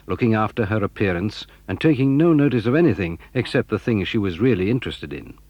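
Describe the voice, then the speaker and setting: A man's voice: fairly low pitch, darkish tone, no room sound. A man with a British accent reading scripted storybook narration for an audio dramatisation. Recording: dull, lo-fi old audio recording.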